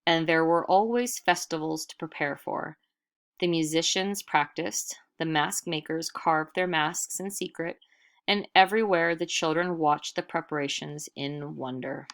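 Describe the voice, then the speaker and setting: Female storyteller, low register, for expressive picture-book narration with deliberate, dramatic emphasis. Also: gravelly.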